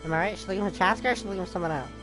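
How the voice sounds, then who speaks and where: A woman giving casual, chatty playthrough commentary as she reacts to a game's story in an informal, expressive way. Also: medium pitch, rapid-fire. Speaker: gaming streamer reacting to game story. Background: game music.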